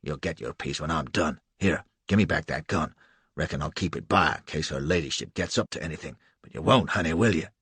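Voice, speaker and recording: A man voicing a brutish, leering slave catcher with a coarse, gruff drawl in an audiobook. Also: rumbling low, a little fast, fairly bright tone, no echo, voice pushed down, full character voice.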